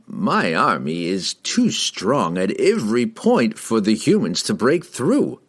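A man voicing an arrogant, menacing wizard in a fantasy audiobook, slow and imperious as he presses his demands. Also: on the low side, wildly swooping pitch, husky, full character voice. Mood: dismissive.

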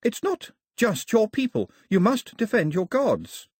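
A woman's voice: mid-range voice, huge pitch swings, a little slow, darkish tone, breathy edge, no echo, studio quality, voice pushed up, heavily acted. A man voicing an earnest, proper young girl who speaks in a prim, insistent way. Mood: urgent, insistent.

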